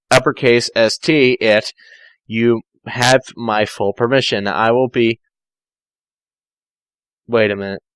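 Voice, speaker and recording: A man's voice: medium pitch, fairly bright tone, clean tone, dry recording, low-quality recording. A man reading amateur fan fiction aloud, breaking in with dry, sarcastic asides.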